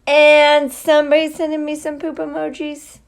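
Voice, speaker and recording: A woman's voice: high-pitched, monotone, moderate pace, creaky. A middle-aged woman, a teacher, chatting casually and playfully with young viewers on a livestream, drawing out her greetings. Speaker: teacher hosting a livestream for students.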